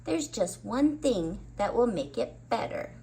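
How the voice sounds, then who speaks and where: A woman's voice: mid-range voice, sing-song, measured pace, dark tone, slight background noise. A woman voicing a tiny, sweet flower fairy in a children's storybook, speaking graciously and with delight. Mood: pleased, gracious.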